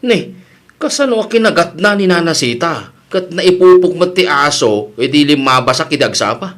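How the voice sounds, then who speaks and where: A man's voice: a little high, expressive, moderate pace, fairly bright tone. A man playing a concerned, disapproving village husband in an Ilocano comedy radio drama, with an Ilocano accent.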